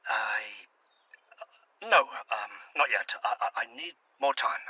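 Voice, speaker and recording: A man playing a weary, irritable fugitive in an audio drama, agitated and sardonic, with a husky voice. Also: lowish voice, animated, slow, bright, forceful tone, no room sound, highs cut off, full character voice. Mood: hesitant, stressed. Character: Jeremy Larkin, tense, weary, irritable man on the run.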